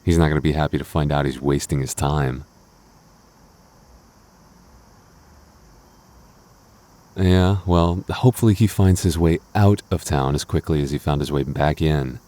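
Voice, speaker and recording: Young male lover played by a voice actor, deep and soft-toned, possessive yet devoted, gets defensive, intimate roleplay. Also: fairly quick, few pauses. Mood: bitter, sarcastic. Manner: stammering, defensive, teasing asides. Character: jealous, protective young boyfriend, defensive but loving. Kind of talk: intimate boyfriend audio roleplay.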